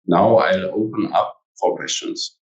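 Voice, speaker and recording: A man's voice: on the low side, fairly even intonation, measured pace. A man formally reading a prepared company earnings presentation as an executive. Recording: echoey room, distant from mic.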